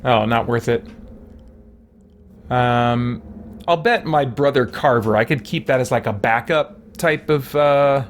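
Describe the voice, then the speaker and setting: A man's voice: mid-range voice, slow pace, slight background noise. A middle-aged man livestreaming a game, chatting casually and rambling as he thinks aloud. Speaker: livestream host and gamer. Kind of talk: casual chat while playing a game.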